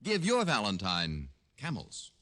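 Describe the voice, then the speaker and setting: A man's voice: fairly low pitch, huge pitch swings, measured pace, very noisy. A man with an American accent announcing and reading adverts in the style of mid-century radio. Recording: vintage radio broadcast with music.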